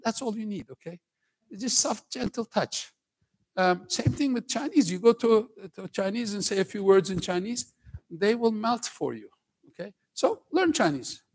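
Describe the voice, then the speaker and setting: A man's voice: high voice, animated, normal speed, slightly rough, low-quality recording. Older Turkish-accented man lecturing on stage. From Turkey. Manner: conversational, keeps asking "okay?", jokes with the crowd. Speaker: professor giving a talk to students. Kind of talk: lively lecture to a school audience. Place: large auditorium stage.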